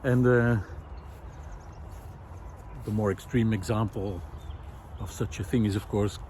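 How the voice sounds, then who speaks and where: A man speaking in a reflective, unscripted explainer style, hesitant and thoughtful, often pausing mid-sentence as he presents. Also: lowish voice, steady tone, darkish tone. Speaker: presenter of a nature and philosophy video series. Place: outdoors in a park. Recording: outdoor recording with clear background noise.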